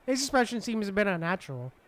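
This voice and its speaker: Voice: medium pitch, big pitch swings, quick pace, fairly bright tone. A streamer giving casual playthrough commentary and reading game dialogue aloud.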